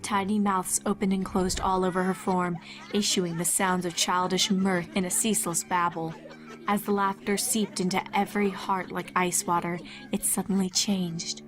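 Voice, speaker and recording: A woman's voice: fairly low pitch, level tone, normal speed. Woman narrating a dramatic story over music. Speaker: fan fiction narrator. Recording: music behind the voice. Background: background music.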